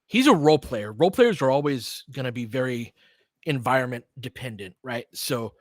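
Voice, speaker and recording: A man's voice: mid-range voice, animated, moderate pace, no echo, studio quality. A man hosting a casual live basketball show, conversational and opinionated, chatting with listeners in informal, slangy language. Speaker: host of a basketball audio play-by-play show. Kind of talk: casual live sports discussion with loose play-by-play.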